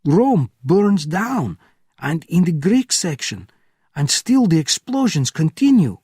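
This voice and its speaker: A man's voice: unhurried, husky, no room sound, studio quality. A man playing a screaming, panicked gate officer. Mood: frantic, screaming. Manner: screaming, frantic, high.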